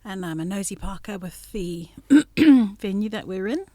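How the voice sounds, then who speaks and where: A woman's voice: mid-range voice, normal speed, warm tone, no echo. A woman in her sixties, a business administrator, introducing herself in a casual family interview with teasing, playful humour.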